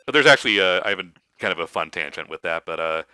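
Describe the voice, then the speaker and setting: A man chatting casually as a gaming commentator, telling anecdotes in a halting, stop-start way. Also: low-pitched, lively intonation, sharp tone, a little hiss or hum. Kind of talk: casual chat, joking anecdotes.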